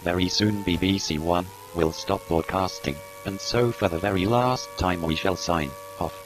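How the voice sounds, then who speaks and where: Man playing a solemn emergency TV announcer: low-pitched, formal, noisy recording. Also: normal speed, lightly acted. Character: grave, formal television announcer signing off. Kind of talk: scripted emergency broadcast announcement.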